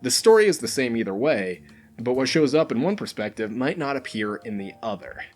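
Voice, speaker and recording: A man's voice: medium pitch, very animated, a little fast, faint music behind. A man reading a scripted top-list countdown as its host, in an informal way with dry, humorous asides. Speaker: host of a video game recommendation countdown.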